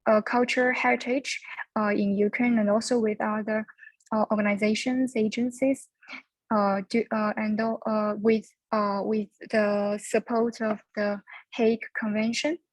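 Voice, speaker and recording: A woman's voice: fairly high pitch, level tone, measured pace, dry recording, rough recording. A young adult woman from China, speaking hesitantly with many fillers as she searches for words in a class discussion. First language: Chinese. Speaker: student.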